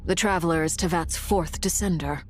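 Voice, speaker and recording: A woman's voice: lowish voice, natural voice. A woman playing a composed, formal, shrewd strategist in a fantasy video game. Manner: measured, formal.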